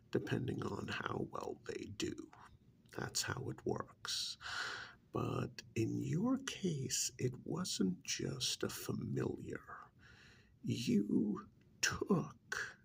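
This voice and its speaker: A man playing a smug, menacing agent of a vampire in a soft-spoken ASMR roleplay, with a breathy, gravelly half-whisper and deliberate, condescending delivery. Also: mid-range voice, exaggerated voice. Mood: condescending, explaining. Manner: slow, deliberate, condescending, with pauses. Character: smug, menacing vampire's male agent interrogating a captured hunter.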